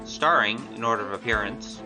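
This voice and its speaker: A man's voice: lowish voice, fairly quick, rough recording. A man announcing the scripted credits of an audio drama. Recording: loud music under the voice. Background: loud music.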